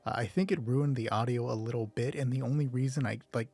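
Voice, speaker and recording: A man's voice: mid-range voice, fairly even intonation, fast, a little mellow, soft background music. A man hosting a solo roleplay show in casual, rambling, self-deprecating commentary, swearing offhandedly. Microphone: close mic with gain set high.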